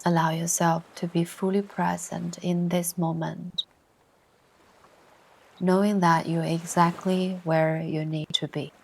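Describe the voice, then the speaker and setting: A woman narrating a guided meditation in a soothing, gentle way, with long pauses between short instructions. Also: lowish voice, little pitch movement, creaky, husky, low-quality recording. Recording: ocean surf sounds behind the voice. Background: ocean waves ambience.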